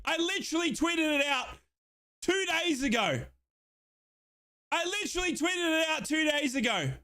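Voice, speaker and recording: A young Australian man giving excited live football commentary on a watchalong stream, shouting and repeating himself, his voice going hoarse. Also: very high-pitched.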